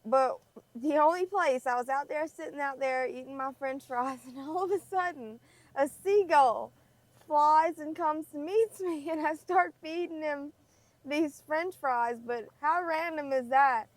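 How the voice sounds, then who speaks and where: A woman's voice: high voice, a little slow, no echo. A woman, chatting casually and laughing easily in a parked car. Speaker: travel vlogger. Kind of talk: casual chat.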